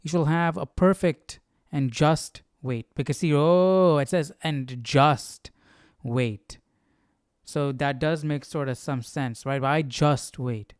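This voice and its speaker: A man with a slightly low, smooth, clear voice talks through a Bible study casually, recorded close and dry. He reads aloud and adds his own comments, often thinking out loud and questioning himself. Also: no room sound. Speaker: host of a Bible study stream.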